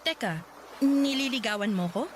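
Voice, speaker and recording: A woman's voice: fairly high pitch, expressive, normal speed, over music, natural voice. A woman with a Filipino accent playing a principled, reserved young woman being courted in a Tagalog radio drama, explaining herself earnestly and hesitantly. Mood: shy.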